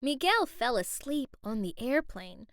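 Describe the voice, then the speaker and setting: Woman reading a kids' book aloud, high and sing-song, slow, close and dry. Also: no room sound. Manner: expressive, carefully paced reading. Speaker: children's book reader. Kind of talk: read-aloud storytime narration.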